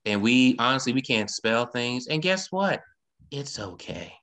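A podcast host who speaks in an animated, encouraging way and apologizes often. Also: medium pitch, measured pace. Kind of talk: podcast interview.

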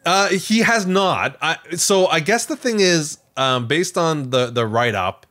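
A man hosting a podcast, casually recounting a story in a rambling, animated way. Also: fairly high pitch, a little slow. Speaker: podcast host.

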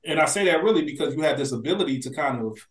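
A man's voice: a little high, expressive, rapid-fire. A man co-hosting a podcast, chatting casually with slang, swearing and strong opinions, in an echoey room. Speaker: podcast co-host. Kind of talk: casual chat.

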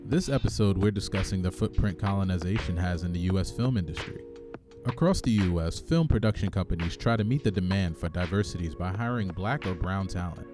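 A man's voice: low voice, a little fast, over music. A man hosting a podcast, moving between scripted introduction and casual chat. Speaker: podcast host.

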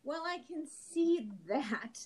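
High voice, woman acting as firm mother, suspicious, no-nonsense, amateur audio play. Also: animated, slow pace, darkish tone. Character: suspicious, firm mother questioning her children.

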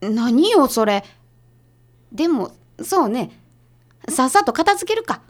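A woman acts a prickly detective's secretary with a Kansai accent. The voice is a little high and swings widely. The delivery is curt, brisk and bossy, in radio drama style. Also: sing-song, exaggerated voice. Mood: grudgingly confident.

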